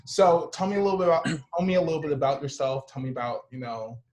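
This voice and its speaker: A man hosting a show, delivering a fast, profane comedic monologue before interviewing a guest. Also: fairly high pitch, flat delivery, darkish tone, reverberant. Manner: quick, profane, joking delivery. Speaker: host.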